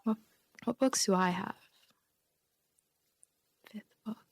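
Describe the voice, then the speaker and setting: A woman's voice: medium pitch, slow pace, dry recording, clean studio sound. A woman chatting casually and informally, a bit hesitant, as a guest on a radio comedy show. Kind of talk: casual chat and joke reading. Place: small radio studio.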